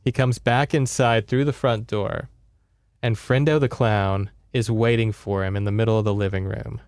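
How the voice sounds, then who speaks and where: A man's voice: lowish voice, steady tone, normal speed, a little mellow, smooth, no echo, clean studio sound. A man hosting a podcast, retelling a book in casual, conversational chat and checking in with his listeners. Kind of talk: casual story recap chat.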